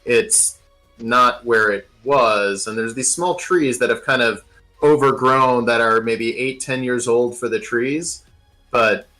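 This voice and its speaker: A man improvising narration as a game master, pausing as he describes the scene. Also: mid-range voice, pushed and bright, rough recording.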